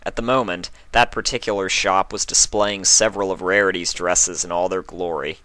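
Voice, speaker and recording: A man's voice: low voice, flat delivery, normal speed, bright, forceful tone, dry recording. A man reading a scripted fan-fiction story aloud as a dramatic narrator.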